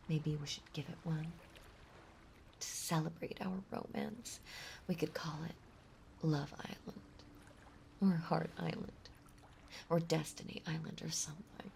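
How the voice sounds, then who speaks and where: A woman playing a possessive, lovesick mermaid monster girl in an intimate audio roleplay, breathy and often whispering, with a doting, clingy delivery. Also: sits low, expressive, slow, long pauses, a little mellow, slight background noise, exaggerated voice. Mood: lovesick, giddy. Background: ocean ambience.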